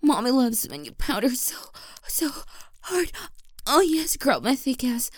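A woman playing a doting, nurturing mature girlfriend in an intimate adult audio roleplay, with a breathy, cooing delivery. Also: sits high, very animated, measured pace, no room sound, full character voice. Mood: urgent, passionate. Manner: breathy, cooing, pet-name-laden intimate address.

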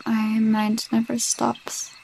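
A woman's voice: a little high, completely flat delivery, slow pace, creaky, slight background noise, poor audio. A young woman voicing a possessive, jealous girlfriend with a sinister streak, sweet-talking and coaxing in a roleplay audio drama. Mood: obsessively worried. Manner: sweet-talking, coaxing, with unsettling laughs and hushed asides.

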